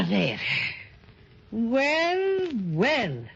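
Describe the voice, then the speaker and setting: A woman playing a small, bossy, cheery toy bear with a husky voice in a 1930s children's radio serial. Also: a little high, wildly swooping pitch, drawn-out words, full character voice. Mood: bossy, curious. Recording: dull 1930s radio recording.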